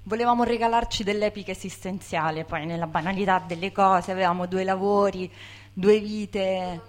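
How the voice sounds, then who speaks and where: A woman's voice: mid-range voice, steady tone, normal speed. A woman talking informally to an audience, reflective and hesitant, repeating words as she searches for them. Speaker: bookseller, co-founder of a women's bookshop.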